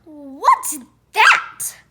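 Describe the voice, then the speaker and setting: Impatient, snooty storybook gentleman, acted by a woman, demanding and brusque, high voice. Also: wildly swooping pitch, extremely slow, heavy background noise, full character voice. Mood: angry, demanding. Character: rude, impatient, haughty storybook grump of a man.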